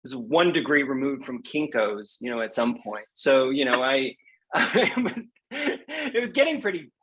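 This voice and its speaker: A man speaking as an interview guest, telling his own story in a candid, self-deprecating, conversational way with animated quoted asides. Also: mid-range voice, unhurried, breathy edge, rough recording. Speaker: guest poet.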